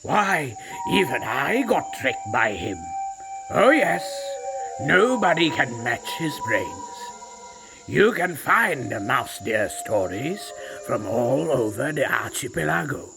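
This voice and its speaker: A man's voice: medium pitch, big pitch swings, slow pace, vocal fry, low-quality recording. Man playing a boastful ancient tiger narrator. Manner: theatrical and self-satisfied. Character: magical ancient male tiger, proud and boastful storyteller. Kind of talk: scripted storybook narration. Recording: narration over loud music.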